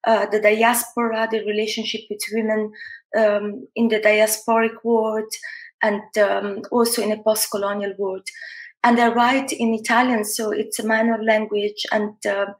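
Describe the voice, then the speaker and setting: Hesitant woman, very even pitch, echoey room. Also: moderate pace. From Somalia. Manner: hesitant, repeating words as she searches. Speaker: writer, poet and playwright. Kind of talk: informal personal introduction. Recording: roomy, echoey sound.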